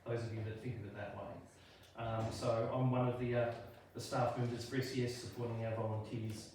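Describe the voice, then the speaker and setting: An Australian man speaks on a community panel in a relaxed way, starting with hesitant ums. He sits well away from the mic in a very reverberant hall. Some background noise sits faintly under him. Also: mid-range voice, moderate pace. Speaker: emergency service regional officer. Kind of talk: panel talk. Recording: echoey hall, distant mic.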